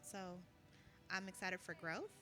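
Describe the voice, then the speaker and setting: A woman's voice: fairly low pitch, sing-song, measured pace. An American woman co-hosting a radio show in casual chat, speaking informally with affection and expression. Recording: music playing behind.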